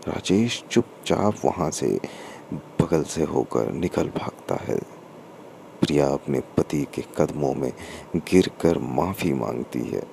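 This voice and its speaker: A man narrating a scripted story in a halting way, in short broken phrases. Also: very deep voice, expressive, vocal fry, mellow. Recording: noticeable background noise.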